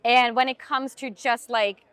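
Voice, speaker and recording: A young woman from Switzerland with a Swiss accent, an actress telling her story in a casual interview, chatty and animated. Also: a little high, measured pace, clean tone, no room sound. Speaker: actress, podcast guest.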